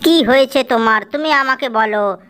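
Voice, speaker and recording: A grateful, kindly female serpent, voiced by a woman for a cartoon. She speaks steadily and reassuringly, in a high, bright, smooth voice. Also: moderate pace. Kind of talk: cartoon voice acting.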